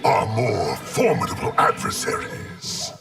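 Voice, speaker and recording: A gravelly many-souled warrior, solemn and swooping. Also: male voice, lowish voice, huge pitch swings, a little slow, over loud music, full character voice. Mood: stern defiance. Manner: solemn, formal, declamatory, speaks as "we". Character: collective of many tormented souls in one warrior, grave, menacing. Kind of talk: fighting game character acting.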